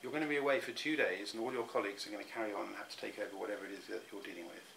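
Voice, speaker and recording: A man's voice: fairly low pitch, steady tone, very fast, vocal fry, fairly bright tone, low-quality recording. Middle-aged man, reflective interviewee, stop-start delivery, faint hum behind. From England. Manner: thoughtful, many restarts and repeated words.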